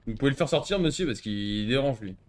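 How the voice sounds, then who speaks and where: A man's voice: mid-range voice, animated, fast. A man bantering casually in an online roleplay game, blunt, mocking and slangy.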